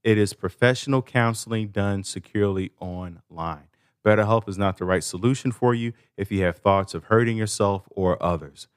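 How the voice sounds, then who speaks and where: A man's voice: lowish voice, moderate pace, clean tone, no echo. Casual male podcast host, close and dry. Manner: informal, playful, slangy asides. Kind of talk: casual conversational podcast ad read and interviewing.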